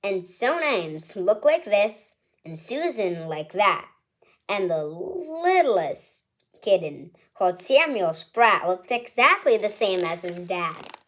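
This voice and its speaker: A woman's voice: mid-range voice, very animated, takes it slowly. Woman reading a proud mother cat. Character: proud mother cat showing her kittens.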